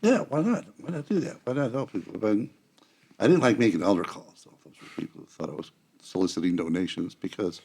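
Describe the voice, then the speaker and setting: An older man chatting casually and telling stories in a jokey, animated way, as a church elder. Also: fairly low pitch, quick pace, gravelly, a little hiss or hum. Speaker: church elder, seniors' group member. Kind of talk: casual chat, storytelling.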